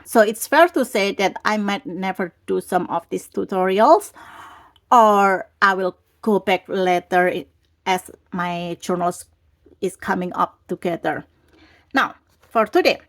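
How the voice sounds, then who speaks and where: A woman hosting a craft video, speaking casually at her tabletop and filmed from an overhead camera. She is getting over a cold and has a lingering cough. She talks in a chatty, rambling way with the odd restart. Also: medium pitch. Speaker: craft channel host. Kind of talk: casual craft tutorial talk.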